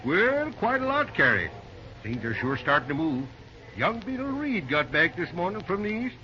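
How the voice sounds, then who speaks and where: A man's voice: high-pitched, very animated, normal speed, bright, forceful tone, exaggerated voice. An actor playing a kindly, folksy older American railroad man with country talk in an old-time radio drama. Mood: earnest, hopeful. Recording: muffled, noisy old radio recording.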